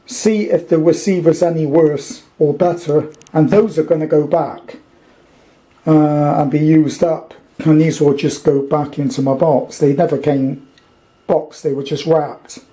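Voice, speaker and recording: A man's voice: a little high, fairly even intonation, a little slow, mellow. A middle-aged British man giving a casual, unscripted hands-on demonstration, hesitantly thinking aloud as he goes. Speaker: hobbyist radio restorer presenting a video. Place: indoors in a small domestic kitchen. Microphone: handheld camera's built-in microphone.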